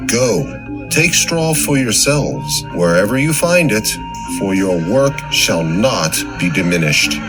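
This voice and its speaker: A man's voice: lowish voice, very animated, slow pace. A man playing a stern slave driver proclaiming a royal order in a scripture audio drama. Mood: stern, commanding. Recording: music under the voice.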